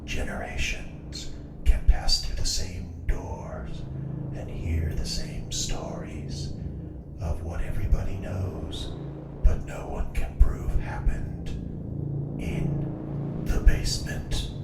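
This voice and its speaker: Sinister horror audio drama host, breathy whisper, slow ominous delivery, roomy echo. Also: male voice, sits low, little pitch movement, recorded from a distance. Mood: hushed menace. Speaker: host introducing a ghost story show. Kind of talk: scripted horror anthology introduction. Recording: roomy echo under loud music. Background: loud music.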